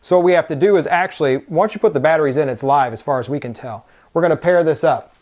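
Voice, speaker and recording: Guy with a slightly high voice, casual hands-on review, walks you thru it step by step. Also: fast, darkish tone, dull audio. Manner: informal, explains step by step. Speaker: product reviewer. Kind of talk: casual unboxing and hands-on demo talk.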